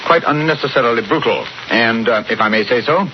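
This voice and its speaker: An actor playing a shrewd, unruffled English gentleman detective in a 1940s radio drama, with a clipped, deliberate, understated manner. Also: mid-range voice, close to an everyday voice. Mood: probing, wry. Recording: muffled, noisy old 1940s radio recording.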